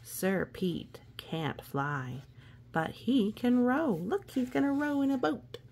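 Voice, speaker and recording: A woman reading a children's storybook aloud expressively, with gentle asides and hushed, suspenseful phrasing. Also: on the high side, takes it slowly. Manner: expressive, hushed suspense, often dropping to a whisper. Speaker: storybook reader.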